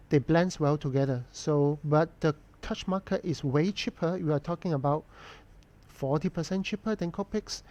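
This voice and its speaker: A man talks viewers through his drawing on a live stream. His voice is mellow and sits a little high for a man. He speaks in an easy, unhurried way and pauses often to think. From Hong Kong. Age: 40s. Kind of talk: casual live-stream chat while drawing, answering viewer questions.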